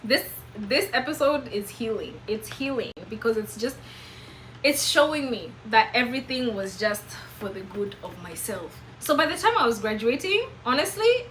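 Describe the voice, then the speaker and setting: A woman's voice: on the high side, animated, moderate pace, a bit bright, slight background noise, poor audio. A woman in her twenties with a Kenyan accent, telling a personal story to camera in an emphatic, preachy way. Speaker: vlogger.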